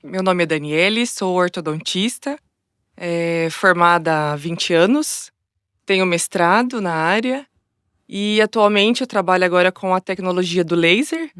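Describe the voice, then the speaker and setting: A woman's voice: fairly low pitch, a little slow, a bit bright, clear, resonant tone, no echo, clean studio sound. A Brazilian woman guest explaining as an orthodontist in conversation, often tagging phrases with "né". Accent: Brazilian Portuguese. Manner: frequent "né?" tags.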